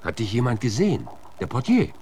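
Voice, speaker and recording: A man's voice: medium pitch, very animated, a little fast, warm tone, slight background noise, close to an everyday voice. A man playing a blunt, suspicious American marshal in a German radio drama, firing curt, coarse questions. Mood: probing. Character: gruff, blunt, suspicious male US marshal interrogating suspects.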